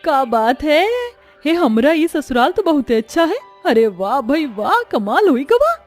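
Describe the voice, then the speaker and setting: A woman voicing a naive, excitable young village bride in a Hindi cartoon, with a rustic eastern dialect and comically dramatic exclamations. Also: sits high, a little slow, soft background music. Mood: excited. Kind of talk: Hindi cartoon story dialogue.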